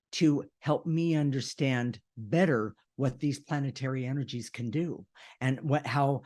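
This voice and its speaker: A man with a deep voice talking casually and earnestly, with emphasis, as co-host of an unscripted discussion show. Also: darkish tone.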